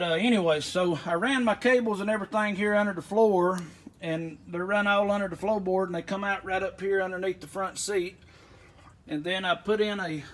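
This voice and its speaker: A man's voice: high-pitched, fairly bright tone. A man giving a casual hands-on walkthrough in a folksy, rambling way. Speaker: aviation vlogger. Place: inside a small plane at an airport.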